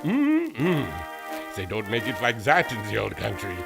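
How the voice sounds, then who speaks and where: Brief: comic German scientist, thick accent, hammy, bouncing pitch. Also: male voice, wildly swooping pitch, unhurried, vocal fry, music in the background, heavily acted. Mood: hammy salesman. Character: cheerful German male scientist, crew member. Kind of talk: old-time radio drama acting.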